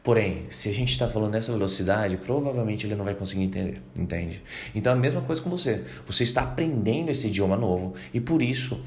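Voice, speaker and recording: A man with a Brazilian Portuguese accent giving a casual video lesson on learning English, lively, acting out learners' reactions and reading English lines slowly. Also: fairly low pitch, a little hiss or hum. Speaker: English teacher.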